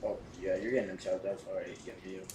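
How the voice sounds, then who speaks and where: Male gamer reacting casually to a game, sarcastic asides, medium pitch, roomy far-off mic, noisy. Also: flat delivery, fast talker. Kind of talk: casual game playthrough commentary. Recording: echoey, distant mic with clear noise.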